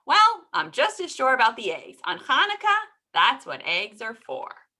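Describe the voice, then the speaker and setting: A woman's voice: high-pitched, huge pitch swings, a little slow, bright, forceful tone, voice pushed up, exaggerated voice. A woman storyteller voicing a wise male rabbi who makes solemn, sage-like pronouncements in a folk tale. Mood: sure, pronouncing.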